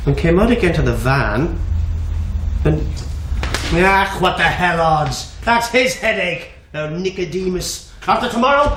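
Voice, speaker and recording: High-voiced, exuberant young Irishman played by an actor. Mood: scornful, teasing. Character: exuberant, cheeky young Irishman daydreaming of escape. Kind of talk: theatrical stage monologue and duologue. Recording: echoey theatre recording with faint noise.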